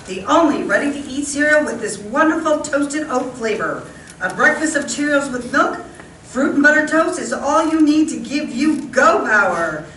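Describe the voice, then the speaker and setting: A woman's voice: sits high, a bit bright. A woman announcer reading scripted sponsor messages in an old-time radio style. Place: live stage radio show in a hall. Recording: echoey hall, distant mic, faint noise.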